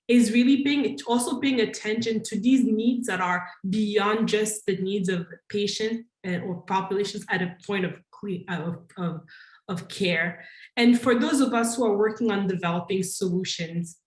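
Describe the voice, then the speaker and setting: A woman's voice: medium pitch, normal speed. Thoughtful woman speaking in an echoey room. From Congo. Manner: thoughtful, with frequent restarts and fillers. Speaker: conference panelist. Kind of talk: conference talk.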